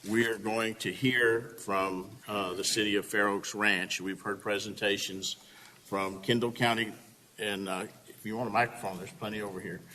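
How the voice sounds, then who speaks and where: A man chairing a public committee meeting in a relaxed, folksy way. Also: on the low side, moderate pace. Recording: long reverb in a meeting room, faint hiss.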